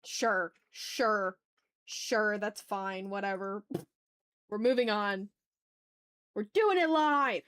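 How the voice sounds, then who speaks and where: A woman's voice: medium pitch, takes it slowly, long pauses, a bit bright, clean tone, no echo. A woman livestreaming as she draws, chatting casually in slang and muttering to herself with playfully dramatic, exasperated asides. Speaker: art livestreamer, host.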